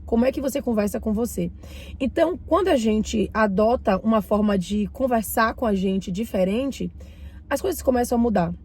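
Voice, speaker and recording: A woman's voice: fairly high pitch, expressive, normal speed, breathy edge. A woman from Bahia with a Bahian Brazilian accent, talking casually to her viewers as a channel host while still getting over a cold. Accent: Bahian Brazilian Portuguese. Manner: warm, chatty, addresses viewers directly. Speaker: mindset and finance channel host. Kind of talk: casual talk to camera, reading and commenting a book.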